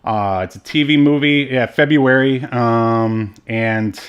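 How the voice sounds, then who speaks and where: A man's voice: mid-range voice, fairly even intonation, slow pace, smooth, muffled recording. A man co-hosting a casual movie review podcast, rambling and hesitant with frequent asides. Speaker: podcast co-host.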